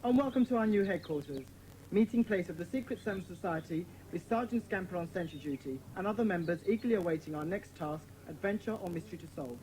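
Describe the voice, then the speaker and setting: A woman's voice: on the low side, expressive, fast talker, mellow, slight background noise, lightly acted, rough recording. A woman playing a confident schoolboy who leads a children's club in a dramatised audiobook, self-assured and organising. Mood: proud.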